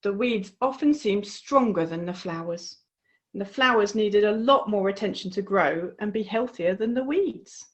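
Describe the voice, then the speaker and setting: A woman reading her children's storybook aloud in an expressive, encouraging way. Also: mid-range voice, moderate pace. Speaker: author reading her own book.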